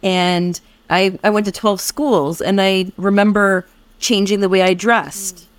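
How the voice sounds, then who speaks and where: A woman's voice: mid-range voice, little pitch movement, unhurried, no echo. A woman from the United States hosting a conversational interview, empathetically relating to the guest. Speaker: podcast host.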